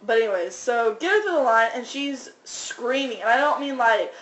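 A woman with a small, soft voice, telling restaurant-work stories in casual chat and mimicking customers. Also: fairly high pitch, lively intonation, moderate pace, roomy sound, muffled recording, very noisy. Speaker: restaurant worker, podcast guest.

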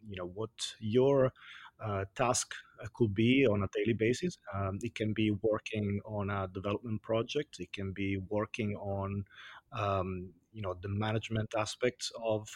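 Italian man guest, husky lowish voice, hesitant, dry studio. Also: fairly even intonation, normal speed, no echo. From Italy. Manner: hesitant, many fillers. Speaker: guest, sustainability director. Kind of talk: interview answers.

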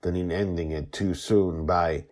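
A man voicing a stern, derisive masked guardian spirit with a raspy, measured delivery in a storytelling podcast. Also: sits low, steady tone, a little mellow.

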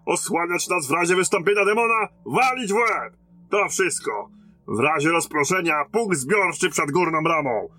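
An actor playing a battle-hardened, blunt and commanding lieutenant in a fantasy audio drama. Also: male voice, on the high side, lively intonation, normal speed, bright, forceful tone. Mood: commanding, rousing.

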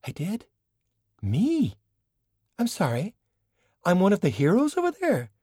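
A man's voice: high voice, wildly swooping pitch, measured pace, darkish tone, husky, dry recording, clean studio sound, voice pushed up, heavily acted. A sly, self-regarding woman mage performed by a male audiobook reader. The voice sits raised and moves theatrically, with an arch, drawling poise. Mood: delighted, astonished.

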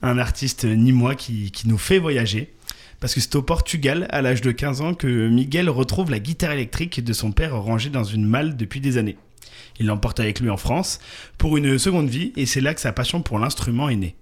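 A man's voice: medium pitch, moderate pace, slightly rough. A man co-hosting a local radio show, casually presenting a musician's biography, often hesitating with fillers and joking about his own pronunciation. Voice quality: hesitant, many "euh" fillers and restarts. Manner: jokey, apologizes and laughs at his own pronunciation. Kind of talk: casual radio chat, reading an artist bio.

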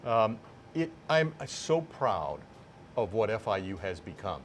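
A middle-aged or older man, a sports announcer, talking as a guest in a casual interview with emphatic, deliberate phrasing. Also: lowish voice, sing-song, slight background noise. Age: 50s or older.

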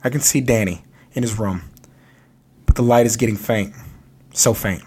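Brief: wistful ghost girl in a spooky kids' book, as a low-voiced man reads her. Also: normal speed, darkish tone, natural voice.

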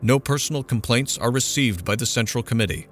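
A man reading a story aloud, voicing an impersonal repair committee in flat, formal replies. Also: medium pitch, a little fast, soft background music, close to an everyday voice.

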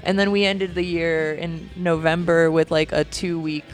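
A woman's voice: on the low side, level tone, moderate pace, faint music behind. A woman in her late twenties, a band's frontwoman, chatting casually in an interview with lots of fillers and frequent laughter. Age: late 20s. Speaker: band frontwoman, guest.

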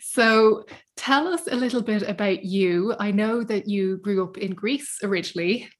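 Woman podcast host, mid-pitched, friendly and welcoming interviewer. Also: normal speed.

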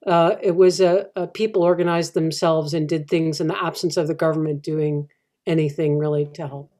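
A woman's voice: sits low, fairly even intonation, normal speed, warm tone. A woman recalling events in a casual, hesitant way, with a lot of filler as she talks. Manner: hesitant, full of "you know". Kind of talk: casual recollection.

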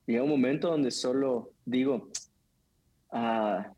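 Husky-voiced male preacher chatting. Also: medium pitch, slow pace, muffled recording. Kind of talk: casual conversation.

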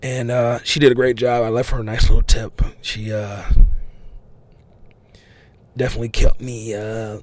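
A man hosts a raw, unedited podcast, talking in a loose and rambling way with plenty of fillers and false starts. His voice sounds slightly hoarse and worn from talking too much. His pitch sits in an ordinary middle range for a man. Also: little pitch movement, moderate pace, long pauses, dry recording. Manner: rambling, many fillers and restarts. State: losing his voice, a bit hoarse. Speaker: podcast host. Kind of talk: unedited casual podcast monologue. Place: restaurant.

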